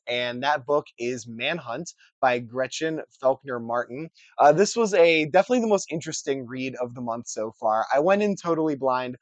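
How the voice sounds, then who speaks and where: A young man, hoarse and scratchy from a cold, chatting casually and upbeat as a book vlogger. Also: no echo, dull audio. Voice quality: scratchy, deeper than usual. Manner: chatty, upbeat, many uh fillers and restarts. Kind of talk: casual chat to camera.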